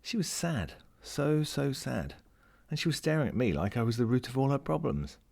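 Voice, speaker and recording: A man's voice: medium pitch, very animated, normal speed, vocal fry, a little mellow, pitched above the performer's own voice. A man voicing a lonely, wry lost ring as he reads a first-person short story in a dramatic, melancholic way. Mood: wistful. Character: lonely, world-weary, wry sentient ring lost at sea.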